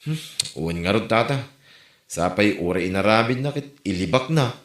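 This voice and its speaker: A man's voice: on the low side, animated, normal speed, natural voice. An actor with an Ilocano accent playing a plain-spoken village man in a comedy drama. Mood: apologetic, earnest. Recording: roomy echo, faint music behind.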